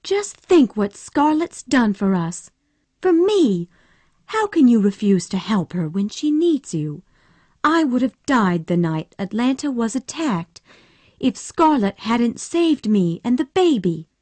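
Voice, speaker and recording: A woman voicing a gentle, loving young Southern wife in a storybook reading, speaking soft and warm. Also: fairly high pitch, big pitch swings, slow pace, dry recording, voice pushed up, exaggerated voice. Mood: earnest.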